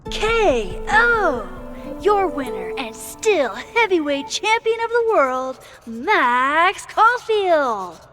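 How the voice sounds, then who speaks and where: A playful mock boxing announcer in a game. The delivery is bombastic and drawn out, high and swooping with a bright edge. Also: female voice, big pitch swings, very slow, faint music behind, full character voice. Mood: triumphant.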